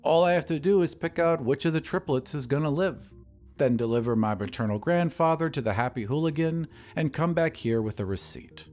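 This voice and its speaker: A man's voice: a little high, a little fast, soft background music, voice pushed up, lightly acted. A man voicing a weary, bitter expectant father, sulky and taut, in an audio drama. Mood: hollow sarcasm. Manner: sardonic, flat, sulky, taut.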